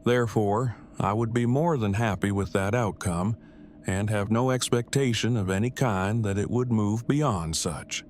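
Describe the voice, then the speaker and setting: Guy acting a nerdy heartbroken man, super formal n wordy, lowish voice, noisy audio drama recording. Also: a little slow, darkish tone, natural voice. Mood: formal, measured. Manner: stilted, formal, wordy phrasing. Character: verbose, self-deprecating, heartbroken nerdy man, stiffly formal.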